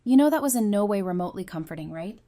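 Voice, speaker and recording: A woman's voice: medium pitch, animated, fast talker, dark tone, close to an everyday voice. A woman playing a caring, candid, wry survivor in an audio drama, speaking earnestly and expressively.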